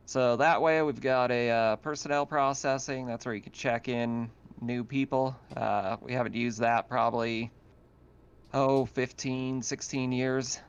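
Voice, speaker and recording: A man's voice: medium pitch, fairly even intonation, unhurried, clear, resonant tone, close to an everyday voice. Male player as tired, folksy outpost administrator, casual hesitant talk, clean voice, lo-fi sound. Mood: weary, casual. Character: folksy, world-weary male colony administrator.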